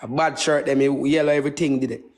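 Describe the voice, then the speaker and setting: Jamaican guy in his 20s, talks real fast, brash, swears a lot, repeats himself, livestream rant. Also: mid-range voice, steady tone. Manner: brash, boastful, repetitive, swearing. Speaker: livestream host. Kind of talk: casual livestream ranting and chat.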